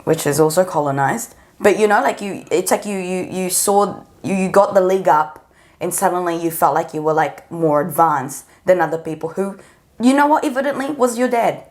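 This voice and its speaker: A woman's voice: fairly low pitch, normal speed, a little mellow. A young woman, co-hosting a podcast and talking in a thoughtful, analytical way. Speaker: podcast co-host. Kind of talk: casual conversation.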